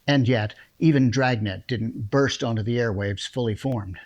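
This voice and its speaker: A man's voice: mid-range voice, normal speed, highs cut off. A man, a producer, casually introducing a radio drama in a chatty, enthusiastic way. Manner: chatty, enthusiastic, laughs between phrases. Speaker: producer and host.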